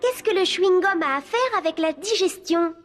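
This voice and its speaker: A woman's voice: extremely high pitch, animated, normal speed, soft background music. An actress voicing a studious, earnest little schoolgirl in a French cartoon dub, keen and prim. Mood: puzzled.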